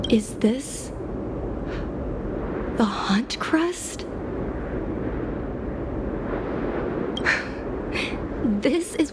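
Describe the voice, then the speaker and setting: An actress playing an earnest, spirited young woman in a video game, with a sincere, expressive, informal way of talking. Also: on the high side, heavy background noise. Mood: wondering. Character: earnest, spirited young woman, dutiful daughter and aspiring pilot.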